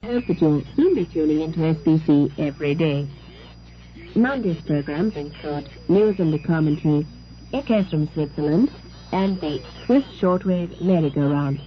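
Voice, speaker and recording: A woman's voice: sits low, lively intonation, moderate pace, muffled recording. A woman presenting on 1960s shortwave radio in the announcing style of an international broadcast. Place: radio studio, heard over shortwave.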